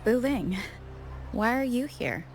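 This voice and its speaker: An actress playing a calm, guarded young warrior in a video game, speaking in a measured, pensive way. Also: female voice, a little high, full character voice. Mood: wary. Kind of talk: English game voice acting, inner monologue. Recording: echoey reverb, music behind.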